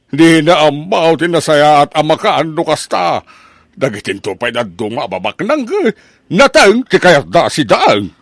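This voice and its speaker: A man's voice: on the high side, very animated, normal speed, gravelly, no room sound, studio quality, full character voice. A man with an Ilocano accent acting a plain-spoken, grumbling father in a comedic audio drama. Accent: Filipino, Ilocano.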